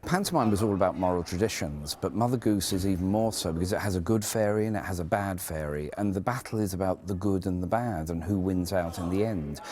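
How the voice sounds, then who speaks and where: A man's voice: sits low, fairly quick, hardly any pauses, darkish tone. A man giving an earnest interview for a news report.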